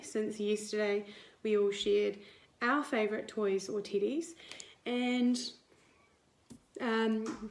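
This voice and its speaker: A woman, a teacher reading a picture book aloud to young children in an expressive, deliberate way. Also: a little high. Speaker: primary school teacher. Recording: roomy indoor echo.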